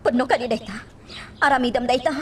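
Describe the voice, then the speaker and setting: A woman's voice: on the high side, fast talker, slight background noise, natural voice. A woman playing a desperate, defiant mother in a radio melodrama, emotional and dramatic in delivery. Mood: wary. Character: desperate, defiant mother longing for her child.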